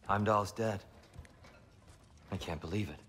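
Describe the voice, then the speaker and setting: An actor playing a grave, awed god, speaking deliberately in a video game cutscene. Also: male voice, lowish voice, background noise, close to an everyday voice. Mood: stunned gravity.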